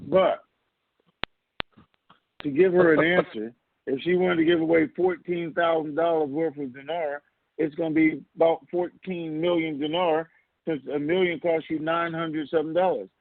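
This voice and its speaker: Man, blunt explainer on a conference call, creaky voice, thin dry telephone audio. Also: on the high side, fairly even intonation, moderate pace, no room sound. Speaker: co-host answering listener questions. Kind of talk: casual explanatory call-in talk. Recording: narrow, lo-fi phone line.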